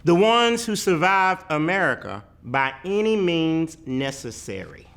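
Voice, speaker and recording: A man giving a dramatic read-aloud of a poetic picture book, with deliberate, emphatic phrasing and weighty pauses. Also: fairly high pitch, sing-song, creaky, a bit bright, smooth. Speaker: reader of a children's picture book.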